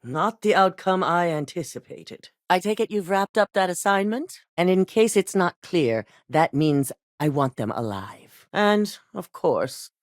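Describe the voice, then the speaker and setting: A woman's voice: lowish voice, lively intonation, measured pace, few pauses, no room sound, clean studio sound. A woman voicing a cool, exacting female corporate executive in recorded game dialogue, speaking in a clipped, controlled, coolly authoritative way. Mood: commanding. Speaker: game character.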